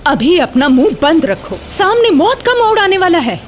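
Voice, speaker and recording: A woman's voice: very high voice, sing-song, normal speed, a little hiss or hum. A woman voicing a menacing, bossy ghost woman in a cartoon horror story, speaking in a commanding, insistent way. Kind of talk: Hindi animated horror story voice acting.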